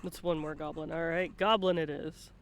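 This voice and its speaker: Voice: mid-range voice, steady tone, moderate pace, slight background noise. A host chatting casually on a livestream, with a playful, teasing, sweary manner. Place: indoors at a computer setup, live online stream.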